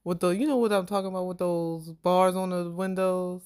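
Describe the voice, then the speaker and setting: A woman hosts a true-crime podcast in a relaxed, chatty way, talking straight to her listeners as "y'all." Her voice sits on the low side, and the recording is close and dry, with no room sound. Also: moderate pace, clean tone, no echo. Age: 30s. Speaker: podcast co-host.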